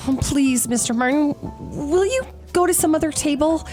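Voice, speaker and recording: Woman playing a prim young lady, high voice, flustered, indignant, sharp-tongued, old-time radio comedy, music in the recording. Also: moderate pace, lightly acted. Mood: indignant, nervous. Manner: stammering, indignant, cutting.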